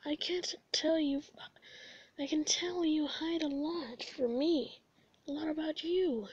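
A woman voicing an excitable, earnest young male skeleton in a comic dub, with dramatic, emphatic delivery. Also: sits high, slow, dull audio. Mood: earnest, pleading.

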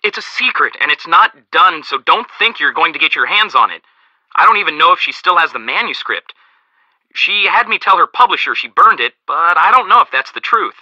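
A man's voice: on the high side, sing-song, fairly quick, sharp tone, no echo, lo-fi sound, heavily acted. An actor playing a dry, chatty, slightly awkward young male assistant in a video game, with an American accent and casual, wry delivery. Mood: confiding, serious. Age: young adult. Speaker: author's assistant.

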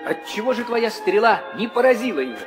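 An actor playing a noble young prince and loving husband in a vintage audio drama, earnest and declamatory. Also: male voice, sits high, big pitch swings, brisk, over music. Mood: curious. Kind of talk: 1960 theatrical audio drama acting. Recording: reverberant vintage studio recording.

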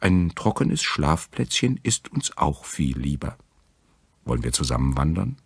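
A man voicing an easygoing, friendly bear-eared wanderer in a fairy-tale audiobook, husky and companionable. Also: extremely low pitch, sing-song, measured pace, dry recording, exaggerated voice.

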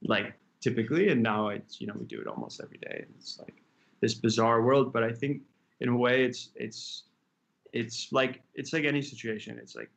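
Gravelly young man chatting on a video call. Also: medium pitch, expressive, normal speed, fairly bright tone. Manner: rambling, many fillers and restarts. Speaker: chef and restaurant co-owner. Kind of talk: casual interview answers. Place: home room with window. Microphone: laptop webcam on video call.

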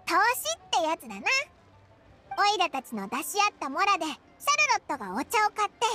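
A woman voicing a small, cheeky fairy companion in an anime game, with a squeaky childlike voice and boyish, casual speech. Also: sing-song, fast, sharp tone, soft background music, full character voice. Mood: confident, explaining.

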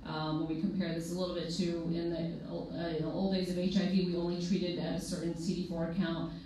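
Mellow-voiced woman in an echoey hall. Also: lowish voice, flat delivery, recorded from a distance. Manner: chatty, explanatory, quick asides. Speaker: moderator, clinician. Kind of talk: conference panel talk. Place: conference room with projector. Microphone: podium mic, filmed from far in the room. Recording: echoey room from afar, faint hiss.

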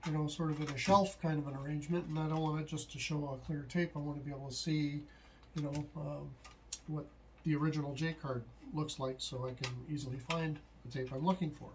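A middle-aged man giving a casual, unscripted how-to explanation, halting and thinking aloud as he goes. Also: on the high side, fairly even intonation, slight background noise, poor audio. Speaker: hobbyist host of a DIY tutorial. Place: small indoor home workshop at a desk, later at a computer.

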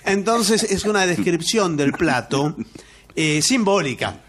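A man's voice: sits high, animated, takes it slowly, a bit bright, a little hiss or hum. A man with a Rioplatense Argentine accent, co-hosting comic radio banter in a mock-formal, protesting manner. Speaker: radio comedy show co-host.

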